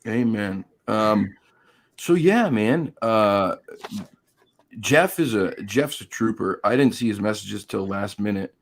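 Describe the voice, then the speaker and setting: A man with a low, gravelly voice hosts a live-stream chat, his throat hoarse and worn. He talks in a friendly, rambling way and calls out viewers by name. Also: animated, slow. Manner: chatty, greets viewers by name.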